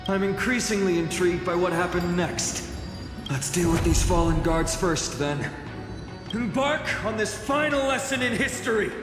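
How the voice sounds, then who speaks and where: A man's voice: sits high, moderate pace, echoey room, big-room sound, over music, natural voice. A man voicing an earnest, bold young swordsman hero in a video game, with dramatic delivery. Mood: heroic resolve.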